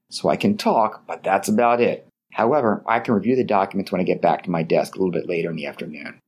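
Low, husky-voiced man hosting an etiquette podcast. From Baltimore, Maryland area. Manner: polite, measured, lively delivery. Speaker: podcast host. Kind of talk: scripted advice podcast.

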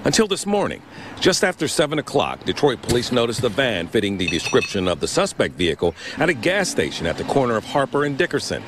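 Animated male reporter, faint street noise. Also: medium pitch, fast talker. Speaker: television news reporter. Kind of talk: live news report. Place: outdoors on a city street.

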